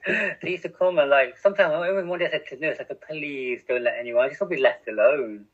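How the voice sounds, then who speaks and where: A man's voice: on the high side, fast. A middle-aged man from England, speaking openly and reflectively as a podcast guest, often restarting his sentences and laughing at himself. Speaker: podcast guest, radio community editor. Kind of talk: candid conversational interview. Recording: tinny phone-like line.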